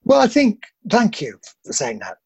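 A middle-aged film actor with a husky voice, answering interview questions in a self-deprecating, halting way. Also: male voice, high-pitched, wildly swooping pitch, dry recording, highs cut off.

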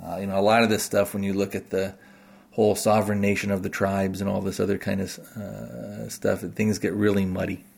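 A man's voice: sits low, level tone, a little fast, vocal fry, a little mellow. An American man hosting a podcast, talking off the cuff to listeners in a rambling, tentative way as he thinks aloud. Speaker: podcast host. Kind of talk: unscripted talk to listeners.

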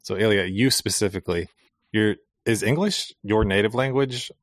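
Interviewer, man with a very low voice, dry close sound, curious and halting. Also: no room sound. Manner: inquisitive, stumbling restarts. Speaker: podcast co-host. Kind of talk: casual podcast interview.

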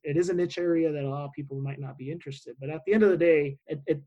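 A man's voice: fairly high pitch, steady tone, racing, dark tone, lo-fi sound. Mexican-accented man, earnest podcast guest. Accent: Mexican Spanish. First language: Spanish. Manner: earnest, many fillers and restarts. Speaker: tax advisor guest. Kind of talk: podcast interview.

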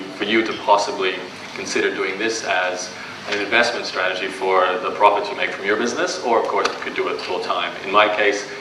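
A young man with a Canadian accent, giving a casual, conversational talk to a live audience at a business event, with many fillers and restarts. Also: low-pitched. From Australia. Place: event room before an audience. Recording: distant, echoey live room recording with background noise.

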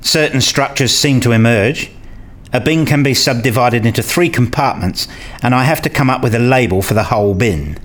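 A man's voice: mid-range voice, normal speed, lightly acted. A man playing a wry, bookish academic who narrates and talks in a radio play, with dry, wordy scripted delivery. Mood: conversational. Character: wry male academic narrating his own puzzled days. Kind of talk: scripted first-person narration and dialogue.

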